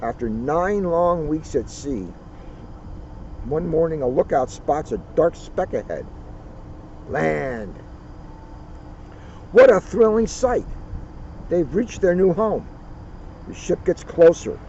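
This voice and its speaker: Muffled, bright-voiced male storyteller outdoors. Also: on the high side, very animated, takes it slowly, long pauses. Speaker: police officer reading a children's book. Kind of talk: read-aloud storytelling with casual asides. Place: outdoors at a turkey farm. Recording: muffled outdoor recording, faint vehicle noise.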